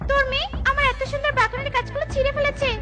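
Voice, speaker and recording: A woman voicing a haughty, cruel peahen boss in a cartoon, scolding with sharp contempt. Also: very high-pitched, little pitch movement, racing. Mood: accusing. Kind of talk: Bengali fairy-tale cartoon dubbing. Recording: music bed behind the voice.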